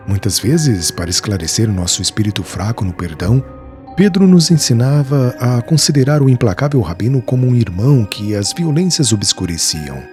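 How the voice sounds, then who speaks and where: Man doing a devout old weaver, deep lowered voice, earnest, lively rises and falls. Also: moderate pace, soft background music, lightly acted. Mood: reminiscing. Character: humble older male weaver, devout and earnest.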